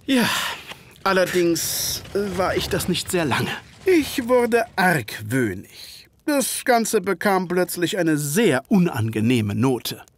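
A man's voice: sits high, very animated, takes it slowly, exaggerated voice. An actor playing a polite male ex-army doctor who tells his story in an audio drama. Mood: polite, guarded. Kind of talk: first-person scripted dramatic narration.

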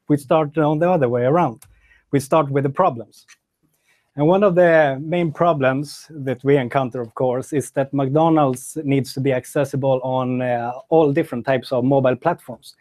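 A man's voice: medium pitch, a little mellow. Man presenting slides at a tech talk.

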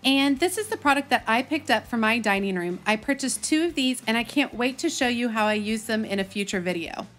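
A woman's voice: on the high side, expressive, brisk, few pauses, music in the background. A woman in her thirties with a Texan accent, narrating a casual vlog in a chatty, enthusiastic, folksy way. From Texas, United States. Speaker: host of a gardening video channel.

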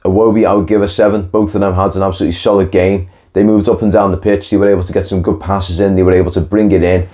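A man's voice: deep voice, little pitch movement, quick pace, hardly any pauses, dark tone. A young man hosting a football reaction video, talking in an opinionated, conversational way with the odd sigh, tired after a long day, filmed indoors by a window. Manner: conversational, opinionated, occasional sighs and restarts. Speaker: football channel host. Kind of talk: post-match reaction monologue. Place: indoor room with window onto a marina.

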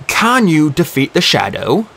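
A man's voice: on the high side, sing-song, unhurried, creaky, fairly bright tone, voice pushed up, heavily acted. A man voicing an impatient, scornful old male magician who snaps his demands in an audiobook. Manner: impatient, snapping.

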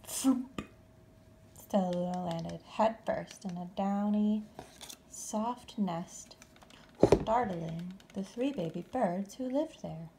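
A woman reading a children's story aloud expressively, with deliberate, dramatic phrasing. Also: medium pitch, vocal fry, noisy background. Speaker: storybook reader.

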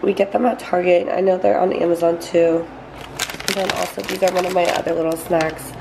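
A woman's voice: fairly low pitch, fairly even intonation, creaky, noisy background. A young woman in her twenties, stuffed up from a sinus infection, chatting to a handheld camera at home in an upbeat way. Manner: chatty, upbeat, quick asides. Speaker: fitness vlogger. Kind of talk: casual vlog talk. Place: home kitchen.

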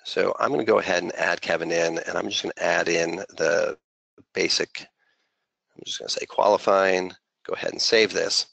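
A man presenting an unscripted software walkthrough as a consultant, conversational and peppered with filler phrases. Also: deep voice, steady tone, moderate pace, gravelly, no room sound, poor audio. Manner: conversational, heavy use of "you know" as filler.

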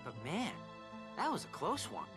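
An easygoing teenage sidekick chats earnestly in a game scene. The voice is high and bouncy, over clear music. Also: male voice, huge pitch swings, normal speed. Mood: friendly. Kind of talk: video game cutscene acting.

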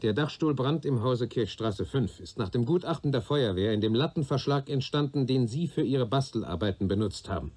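An actor playing a shrewd, patient police investigator in a German radio play, probing and deliberate, with a dry sardonic authority. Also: male voice, mid-range voice, animated, darkish tone, close to an everyday voice. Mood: stern, warning. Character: shrewd, patient male police detective questioning a young man.

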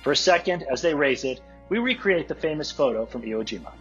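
A man's voice: fairly high pitch, animated, fast, a bit bright, dull audio, faint music behind. A man narrating a script, reading out the stage directions at a table read.

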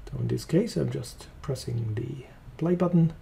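A man with a German accent walking through a software demo, talking casually as he clicks along. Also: medium pitch, normal speed, vocal fry, slight background noise. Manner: relaxed, thinking aloud while clicking. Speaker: software trainer. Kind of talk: screen-recorded software demo.

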